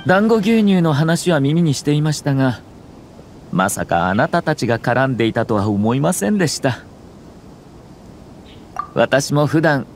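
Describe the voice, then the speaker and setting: An actor playing a refined young noble lord in a game, with polite, formal, measured speech. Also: male voice, a little high. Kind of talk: Japanese game voice acting. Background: background music.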